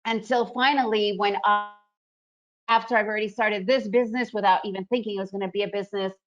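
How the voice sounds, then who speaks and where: A woman's voice: medium pitch, fairly even intonation, quick pace, faint music behind. A woman, presenting a trading webinar in a chatty, upbeat way and often checking in with "right?". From Soviet Union, raised in the US. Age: 40s. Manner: chatty, upbeat, frequent fillers and "right?" tags. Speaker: trading educator hosting a webinar. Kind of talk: casual presentation. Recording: dull lo-fi webinar audio.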